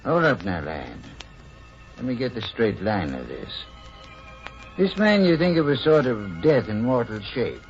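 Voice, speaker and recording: An actor playing a sceptical old sea captain with gravelly, folksy sailor talk in an old-time radio drama. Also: male voice, medium pitch, sing-song, a little slow. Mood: puzzled. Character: salty, sceptical old captain running tattoo salon. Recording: muffled vintage radio recording with music.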